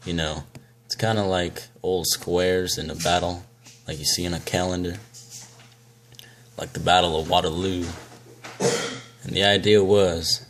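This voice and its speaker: Grim weathered seaman, played by a man, low and unhurried, dramatic monologue, light background noise. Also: fairly bright tone. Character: grim weathered old sailor recounting shark horror. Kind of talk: dramatic film monologue recitation.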